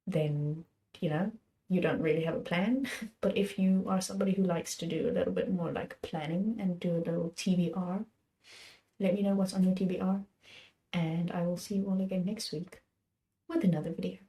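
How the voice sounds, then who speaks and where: A young woman hosting her book channel, chatting about what she plans to read next in a rambling, self-correcting way, her voice a little hoarse from being sick. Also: fairly low pitch, steady tone, moderate pace, dark tone, rough recording. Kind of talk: casual chat about books.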